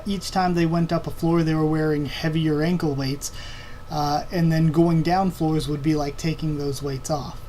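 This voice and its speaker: Higher-voiced man, informal and halting, noisy recording. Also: warm tone. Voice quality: voice tiring, slightly strained. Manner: informal, halting, thinking aloud. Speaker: online video host. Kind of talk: casual Q&A commentary on anime and comics.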